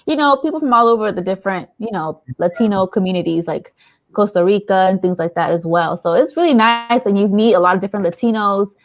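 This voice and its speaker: A woman's voice: mid-range voice, fast, no room sound. A young adult woman from San Diego, chatting casually as an interview guest and laughing often. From San Diego, USA. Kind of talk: casual chat.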